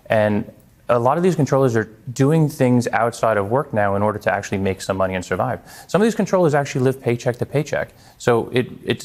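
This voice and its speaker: A man speaking as a guest in a television news interview, earnest and given to repeating his phrases. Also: on the low side. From Long Island, New York. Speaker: interview guest, congressional candidate and former air traffic controller.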